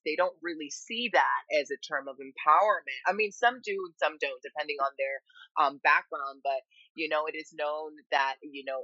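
A woman's voice: sits low, sharp tone, no room sound, highs cut off. A woman tarot reader with a Puerto Rican family background, speaking as a guest in a casual interview, circling her thoughts with restarts and "you know.".

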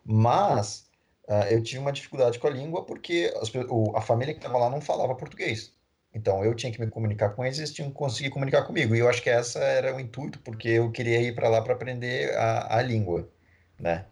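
A man with a Brazilian accent and a husky voice, casually recounting his own experience in conversation. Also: mid-range voice, quick pace, a little mellow. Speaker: panelist sharing experience. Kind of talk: casual chat, personal account.

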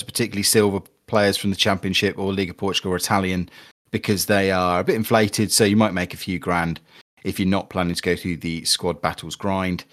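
A man's voice: fairly low pitch, a bit bright. A man hosting a casual gaming podcast, chatty and upbeat as he reads plugs and talks strategy. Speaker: podcast host. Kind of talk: casual podcast chat about a football video game.

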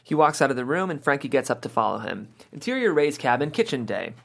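A man with a medium-pitched voice reads stage directions at a table read. It is scripted narration, moving through scene headings and descriptions of the action. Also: very animated, fast talker, gravelly, a bit bright.

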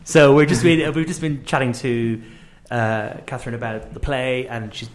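A man's voice: mid-range voice, fairly even intonation, moderate pace. Effusive, rambling male radio host. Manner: effusive, rambling, repeats phrases. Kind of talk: casual conversational interviewing.

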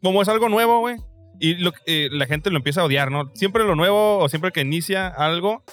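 Mexican man, forties, mexican accent, rambling slangy podcast chat, slightly high voice, faint music behind. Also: animated, fairly quick, fairly bright tone. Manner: rambling, slangy, frequent restarts. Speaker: podcast host. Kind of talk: casual chat.

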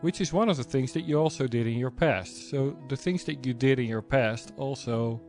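A man hosting a podcast interview, asking relaxed follow-up questions with occasional stumbles. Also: mid-range voice, moderate pace, faint music behind. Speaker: podcast host. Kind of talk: conversational interview.

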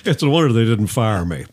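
Animated older man, folksy and jokey. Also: mid-range voice, normal speed, slightly rough. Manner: folksy, jokey, prone to chuckling. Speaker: podcast co-host. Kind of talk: casual chat.

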